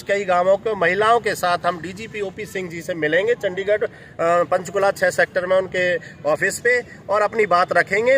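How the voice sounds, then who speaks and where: A man's voice: high-pitched, a bit bright, slight background noise. A man, a lawyer, briefing reporters in an earnest way, full of legal terms. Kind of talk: press interview.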